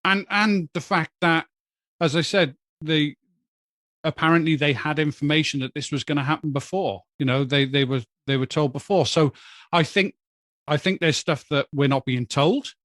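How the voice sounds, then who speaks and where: A man, a guest on a podcast, speaking in a measured, thoughtful way with hesitant starts. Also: fairly high pitch, a bit bright, no echo, clean studio sound. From Wales. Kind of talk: casual podcast chat.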